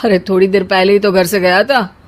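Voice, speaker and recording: A woman's voice: mid-range voice, steady tone, a little fast, lightly acted. Woman playing a patient, placating young husband. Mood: puzzled. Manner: soothing, reasoning. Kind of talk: Hindi cartoon story voice acting.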